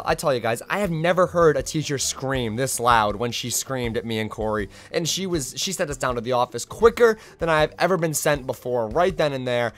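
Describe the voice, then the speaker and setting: A young man, hoarse from losing his voice, telling his own school stories in a chatty, self-deprecating way as a video storyteller. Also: fairly high pitch, a bit bright. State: hoarse, recovering lost voice. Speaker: vlogger. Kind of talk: casual storytime monologue.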